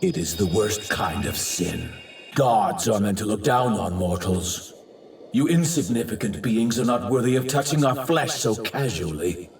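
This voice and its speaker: An actor playing an arrogant, self-righteous villain god in an anime dub, declaiming in a grandiose, archaic and theatrical manner. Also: male voice, on the low side, lively intonation, unhurried. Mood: haughty, righteous. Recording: roomy, distant, faint effects noise.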